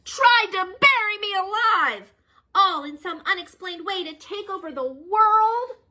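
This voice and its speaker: A woman voicing an excitable male turtle professor, talking in a high, worked-up way in a read-aloud storybook. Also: unhurried, full character voice. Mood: alarmed.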